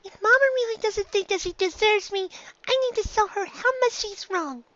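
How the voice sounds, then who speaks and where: Earnest, squeaky-high little girl pony. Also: normal speed, a bit bright, muffled recording. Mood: determined. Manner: childlike, emphatic.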